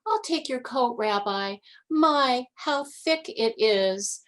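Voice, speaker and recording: Casual: woman putting on a sweet fussy old granny voice, high and sing-songy, slow. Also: animated, fairly bright tone. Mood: fussing. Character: kind, fussy old grandmother, hospitable.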